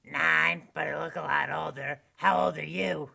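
A meek puppet character played by a performer with a heavily creaky, husky voice. He answers in short, hesitant, deadpan bits, like an old-looking child in a parody show. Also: mid-range voice, moderate pace, exaggerated voice. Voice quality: gravelly, husky. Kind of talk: sock puppet parody acting.

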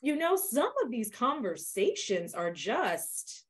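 A woman's voice: on the high side, very animated, takes it slowly. A woman speaking as an actor on a video call panel, reflective and searching for her words in a casual discussion. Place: indoor room on a video call. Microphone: video call computer microphone.

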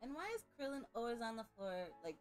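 A woman's voice: a little high, animated, quick pace, faint music behind. A young woman co-hosting a reaction show in casual chat. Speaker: reaction co-host. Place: small furnished game room indoors.

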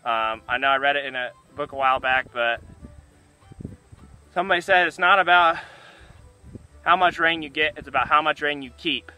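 A man's voice: fairly high pitch, normal speed, pauses often, pushed and bright, soft background music, poor audio. A young man, a farmer, talking earnestly to the camera outdoors by a pasture, repeating words as he goes. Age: young adult. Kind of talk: casual talk to camera. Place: outdoors by a pasture fence under a tree.

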